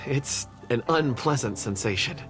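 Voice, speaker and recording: A man's voice: medium pitch, animated, a little slow, close to an everyday voice. A man playing a polite, absent-minded, anxious young townsman in a video game, with a hesitant, apologetic manner. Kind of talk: video game character dialogue. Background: music.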